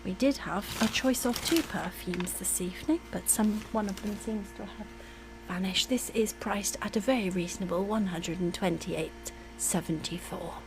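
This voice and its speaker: A soft-spoken woman doing an ASMR roleplay as a polite, attentive air hostess, often dropping into whispers. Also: mid-range voice, moderate pace, noisy background.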